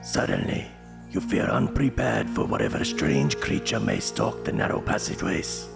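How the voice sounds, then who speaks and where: A man playing a menacing fantasy gamebook narrator, addressing the listener with theatrical, deliberate dread. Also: low-pitched, huge pitch swings, vocal fry, over loud music, heavily acted. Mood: foreboding. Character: ominous gamebook narrator addressing the reader as adventurer.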